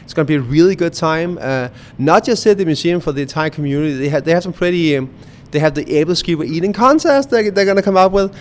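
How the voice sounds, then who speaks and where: A man's voice: on the high side, fast talker, slight background noise. A young adult man from Denmark with a Danish accent, a museum director chatting in a radio interview with lots of fillers and restarts. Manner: hesitant, many fillers and restarts.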